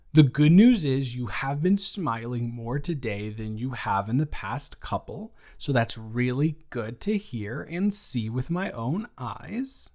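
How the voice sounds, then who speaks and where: A caring young best friend, played by a man, speaks right to the listener in a middle-pitched voice. He is gentle and reassuring, yet blunt and honest when it counts, like a friend sitting close beside you. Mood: teasing, tender. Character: supportive young male best friend, blunt but kind. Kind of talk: improvised audio roleplay.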